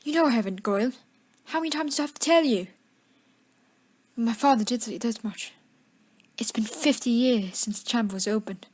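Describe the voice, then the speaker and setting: A woman's voice: a little high, moderate pace, long pauses, a little mellow, natural voice. A woman playing a spiteful, arrogant schoolboy wizard bully with a sneer in a fan audio drama. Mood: irritated.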